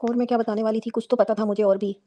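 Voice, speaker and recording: Quick-talking woman with a mellow tone. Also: on the high side, little pitch movement. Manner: colloquial, explanatory, rhetorical questions. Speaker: panelist giving commentary. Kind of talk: casual reaction commentary.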